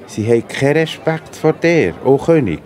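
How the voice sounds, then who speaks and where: A man's voice: mid-range voice, very animated, normal speed, a little mellow, a faint crowd, lightly acted. An actor playing a tattling, deferential servant of a king in a children's audio play. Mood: tattling, indignant.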